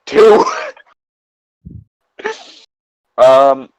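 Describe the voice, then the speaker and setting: A man's voice: medium pitch, huge pitch swings, drawn-out words, a little mellow, smooth, no echo, poor audio. A man giving incredulous, opinionated commentary in a casual, hesitant way, sometimes reading aloud. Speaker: co-host reacting to fan fiction and its reviews.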